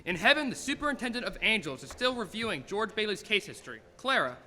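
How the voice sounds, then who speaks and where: Radio play announcer, played by a man: brisk, sharp, lively delivery, echoing theater. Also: high-pitched, exaggerated voice. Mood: dramatic. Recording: reverberant theater, faint noise and crowd.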